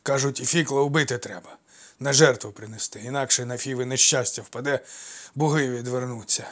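Old male ex-soldier, voiced by a man, wheezing, out of breath, loud, frantic, storybook. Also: mid-range voice, fairly even intonation, brisk, close to an everyday voice. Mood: rambling, breathless. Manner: loud, urgent, pleading.

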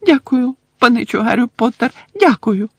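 A reader voicing a small, anxious, servile male house-elf who talks about himself in the third person, in a high piping voice. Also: animated, normal speed, breathy edge, no room sound. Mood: breathless. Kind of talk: audiobook character voice.

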